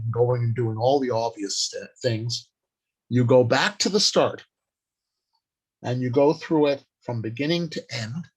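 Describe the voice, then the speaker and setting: Guy teaching a writing workshop, really slow and halting, lots of pauses, informal and deliberate. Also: medium pitch, husky, poor audio. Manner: halting, deliberate delivery in short phrases with pauses. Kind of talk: informal instructional talk on writing.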